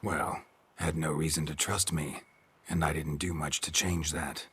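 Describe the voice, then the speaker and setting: A man playing a calm, terse monster hunter in a video game, with a gravelly, deadpan delivery. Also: measured pace, slight background noise, exaggerated voice. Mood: dry, deadpan. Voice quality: gravelly, husky low voice. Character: calm, gruff mutant monster hunter, middle-aged man, dry wit. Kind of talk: video game cinematic acting.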